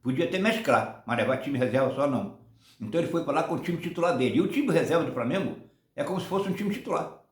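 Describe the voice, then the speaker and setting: A man in his seventies from Brazil, rambling through casual football commentary on his own channel, often ending points with a quick "tá?". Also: fairly high pitch, animated, echoey room. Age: 70s. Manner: rambling, many restarts and tag "tá?".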